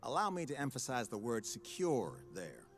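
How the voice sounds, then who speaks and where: An actor playing a cautious park security expert in a video game, speaking emphatically and with warning. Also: male voice, medium pitch, wildly swooping pitch, moderate pace. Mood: cautioning. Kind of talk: scripted video game dialogue.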